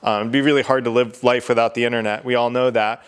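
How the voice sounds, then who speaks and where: A man arguing in a debate, loud and casual, with frequent ums and uhs. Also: medium pitch, little pitch movement, fast talker, clean tone. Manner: loud, casual, many fillers. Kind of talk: loose spoken debate argument.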